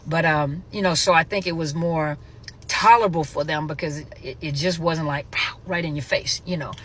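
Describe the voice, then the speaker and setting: A woman's voice: deep voice, moderate pace, fairly bright tone, breathy edge. A middle-aged woman who grew up in Germany, hosting her own show and chatting casually, rambling with many fillers and self-corrections. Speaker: host. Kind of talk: casual vlog talk.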